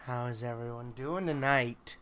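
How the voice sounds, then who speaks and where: A man hosting a story-reading livestream, chatting casually in a halting, think-out-loud way over background noise. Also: medium pitch. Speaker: host of a spooky story reading stream. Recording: clear steady background noise.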